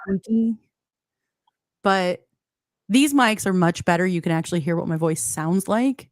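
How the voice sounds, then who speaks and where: A woman hosting a casual chat, with a slightly squeaky voice that laughs and reacts readily. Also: unhurried, dry recording, studio quality. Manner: giggly, animated reactions. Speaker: host.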